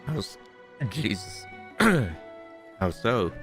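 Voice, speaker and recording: A man's voice: medium pitch, sing-song, extremely slow, music in the background. A man streaming a game, making casual, offhand muttered asides as he reacts. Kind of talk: casual gameplay commentary.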